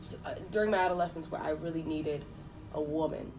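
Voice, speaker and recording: Interview guest: woman, 20s, very deep register, candid and reflective, prone to swearing, clear music bed. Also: recorded from a distance. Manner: frank, halting and reflective, with casual swearing. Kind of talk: candid personal conversation. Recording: off-mic voice under prominent music.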